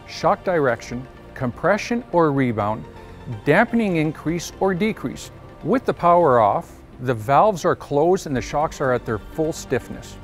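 A middle-aged man giving a scripted technical presentation in a steady, measured voice, recorded on an indoor studio set. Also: on the high side.

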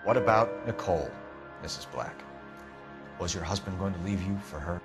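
An actor playing a stern American police detective who questions people in a clipped, formal, confrontational way, in a game drama. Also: male voice, low-pitched, moderate pace, music in the background. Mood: probing. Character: stern, accusing young male police detective. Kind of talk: dramatic game interrogation acting.

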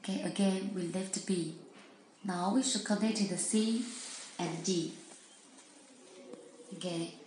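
A woman with a non-native English accent giving step-by-step product instructions in halting, simple phrases, often repeating herself. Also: on the low side, darkish tone. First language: not English. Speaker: product demonstrator. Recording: echoey room with background noise.

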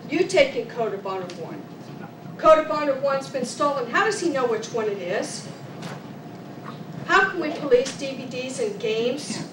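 A woman in the audience at a city hearing, speaking loudly and asking pointed questions. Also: high voice, animated, moderate pace. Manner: loud and questioning. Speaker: audience member at public hearing. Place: council chamber, speaking from the audience. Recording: distant voice in echoey noisy room.

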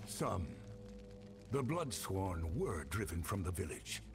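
An actor playing a grave, courteous wandering warrior in a video game, speaking formally and tersely. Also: male voice, deep voice, sing-song, slow, noisy background, full character voice. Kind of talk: video game character acting.

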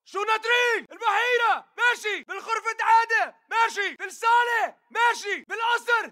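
A man's voice: very high voice, a little fast, pushed and bright, raised voice. A man with a Levantine Arabic accent playing an aggressive militant fighter in a video game, barking urgent, clipped combat callouts. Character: aggressive, alert male militant fighter in battle.

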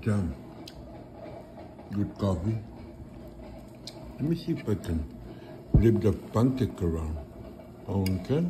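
A man vlogging his breakfast at a home kitchen table, talking in a halting, hesitant way with many pauses, recorded on a handheld phone. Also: on the low side, expressive, noisy background. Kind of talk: casual cooking vlog.

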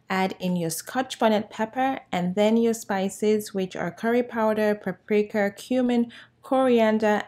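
A woman's voice: mid-range voice, darkish tone. Upbeat woman hosting a cooking tutorial. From Cameroon. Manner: upbeat, friendly, instructive. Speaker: cooking channel host. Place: bright home room with plants.

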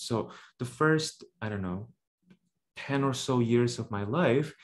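A man in his thirties from Indonesia giving a reflective online talk on a webcam, with many "right?" checks and "um" pauses. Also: medium pitch. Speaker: symposium speaker. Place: indoor room at home. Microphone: webcam video call.

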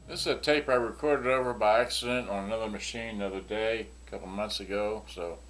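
A man's voice: fairly low pitch, steady tone, a little fast, fairly bright tone. A man giving a casual, unscripted hands-on demonstration as a product reviewer, rambling and muttering to himself as he works. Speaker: product reviewer and seller demonstrating vintage electronics. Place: cluttered indoor workbench. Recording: noisy room recording with clicking sounds.

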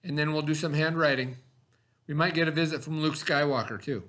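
A man in his forties, a teacher, giving slow step-by-step craft instructions to children from a classroom webcam. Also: a little high, fairly bright tone. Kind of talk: remote classroom craft lesson. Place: classroom, indoors. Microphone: webcam at desk.

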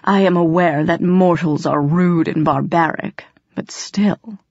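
A woman's voice: fairly low pitch, a little slow, darkish tone. A haughty male faery cat, as voiced by a woman narrator in a storybook reading. He talks down to his listener in formal, disdainful phrases. The sound is a little dull and roomy. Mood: patronizing disdain.

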